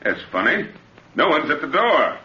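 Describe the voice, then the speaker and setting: A man's voice: a little high, sing-song, moderate pace, background noise. An actor playing a confident, educated policeman with an authoritative manner in a 1950s radio drama. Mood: calm, reassuring. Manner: reassuring, authoritative. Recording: muffled old radio recording with music.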